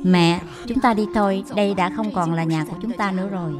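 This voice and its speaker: A woman playing a gentle, dutiful young daughter-in-law in a cartoon dub, patient, sorrowful and respectful. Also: medium pitch, fast, over music, natural voice. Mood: meek, sorrowful. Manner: meek, pleading, respectful. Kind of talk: dubbed cartoon drama acting.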